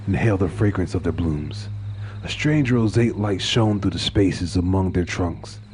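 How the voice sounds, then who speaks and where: Very deep-voiced male storyteller over music. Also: mellow. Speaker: horror story narrator. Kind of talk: dramatic scripted narration of a short story.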